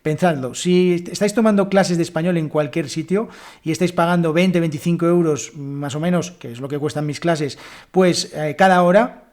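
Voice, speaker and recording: A man's voice: on the high side, normal speed, darkish tone. A man from Spain with a Spanish accent, hosting a solo podcast lesson in a chatty way, with frequent fillers and restarts. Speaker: Spanish teacher and podcast host.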